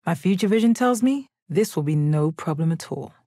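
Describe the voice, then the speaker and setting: A woman playing a stoic, confident, commanding gem warrior in a video game, with a measured, deadpan delivery. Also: lowish voice, no room sound, clean studio sound. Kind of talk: video game character battle lines.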